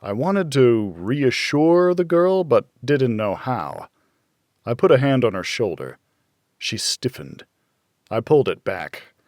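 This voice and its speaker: A man narrates a hardboiled thriller in the first person. His delivery is brooding and measured, with dramatic pauses and lively swings in pitch. The sound is close and dry. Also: no echo. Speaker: audiobook narrator.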